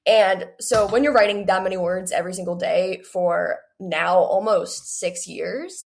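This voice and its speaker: A woman's voice: mid-range voice, measured pace, vocal fry. A young woman, speaking as an interview guest in an eager, rambling, casual way full of filler likes. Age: late teens, young adult. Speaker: author, podcast guest.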